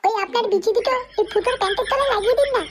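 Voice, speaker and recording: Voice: extremely high pitch, very fast, a little hiss or hum. A performer voicing a cheeky, crude young hospital assistant in a comedy cartoon dub. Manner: cheeky, foul-mouthed.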